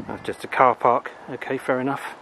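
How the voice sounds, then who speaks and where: Middle-aged english guy rambling to his phone cam, medium pitch, loud road noise and wind around him. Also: steady tone, moderate pace. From England. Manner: chatty, rambling, self-deprecating asides. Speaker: travel vlogger. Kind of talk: casual walking vlog. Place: outdoors by a roadside country churchyard. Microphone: handheld selfie camera at arm's length. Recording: traffic noise and wind outdoors. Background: passing traffic and wind.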